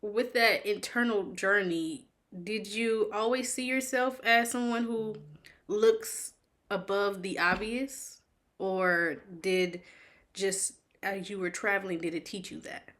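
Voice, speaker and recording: Lady host asking curious questions, chatty, talks her thoughts out loud. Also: medium pitch, takes it slowly. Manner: curious, chatty, thinks aloud. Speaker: interview host. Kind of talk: casual interview conversation.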